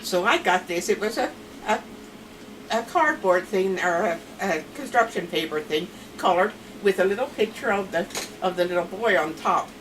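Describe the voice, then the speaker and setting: A woman's voice: medium pitch, animated, normal speed. A woman in her seventies with a Pennsylvania Dutch accent, chatting and rambling through family stories as a grandmother being interviewed at home. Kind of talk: casual family storytelling. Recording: distant mic with clear background noise.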